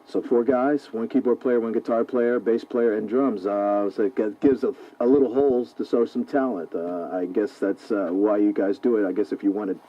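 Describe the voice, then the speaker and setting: A male American rock DJ with a low, relaxed voice, presenting and chatting with guests on the radio. His tone is dark and mellow. The recording is a dull, lo-fi aircheck tape. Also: normal speed, few pauses. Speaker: radio DJ and interviewer.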